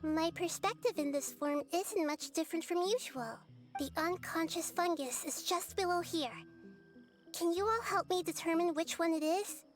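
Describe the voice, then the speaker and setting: A woman voicing a small, excitable, childlike female spirit companion in an anime video game. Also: extremely high pitch, moderate pace. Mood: curious. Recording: game music behind.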